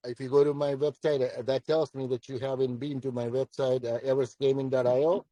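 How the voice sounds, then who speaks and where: Commanding man with a mellow, dry voice. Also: mid-range voice, level tone, fairly quick, no room sound, rough recording. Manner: blunt, emphatic, repeats himself. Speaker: project founder answering questions. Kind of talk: live audio Q&A.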